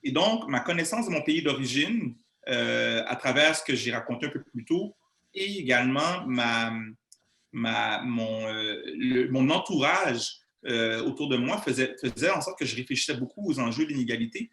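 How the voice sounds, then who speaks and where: A man in his thirties with a Québécois accent speaks at a middle pitch, taking his time. He stumbles over words and restarts his sentences often. His voice carries a noticeable room echo. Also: lively intonation, normal speed, bright, forceful tone. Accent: Québécois French. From Montreal, Canada. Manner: hesitant, many fillers and restarts. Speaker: guest speaker. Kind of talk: conversational interview answer.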